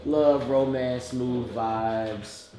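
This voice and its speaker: A man in a relaxed, laid-back casual chat. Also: medium pitch, very slow. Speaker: guest. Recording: echoey room with music.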